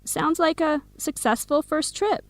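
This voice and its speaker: An actress playing a teasing, protective young American woman in a radio drama, wry and clearly enunciated. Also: sits high, a little slow, dry recording. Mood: curious. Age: young adult. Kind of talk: scripted radio drama dialogue.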